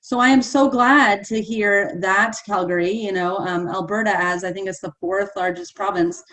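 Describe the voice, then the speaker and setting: A woman's voice: mid-range voice, steady tone, moderate pace, a bit bright, poor audio. An enthusiastic woman hosting a live panel, chatty and full of "like" and "you know". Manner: enthusiastic, chatty, many fillers. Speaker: host.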